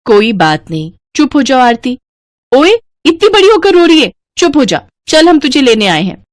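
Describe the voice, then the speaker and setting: A woman's voice: high voice, sing-song, normal speed, dry recording, studio quality, voice pushed up. A woman voicing a bossy, breezy elder sister-in-law in a cartoon family story. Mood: consoling.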